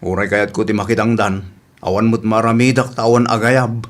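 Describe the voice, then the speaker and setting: A man's voice: on the low side, little pitch movement, fairly quick, natural voice. A man with an Ilocano Filipino accent playing an easygoing, grumbling older village father in a comedy radio drama. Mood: lazy grumbling.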